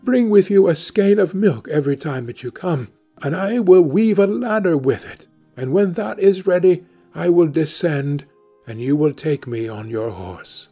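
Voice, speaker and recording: Man playing a high-voiced, gentle young maiden. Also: animated, a little slow, slightly rough, faint music behind, full character voice. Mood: earnest, willing. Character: gentle, trusting young maiden from a fairy tale. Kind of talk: storybook character voice.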